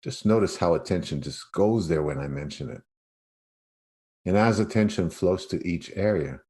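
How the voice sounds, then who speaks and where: A man leading a guided meditation as a yoga nidra teacher, speaking in an unhurried way with spacious pauses. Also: low-pitched, warm tone.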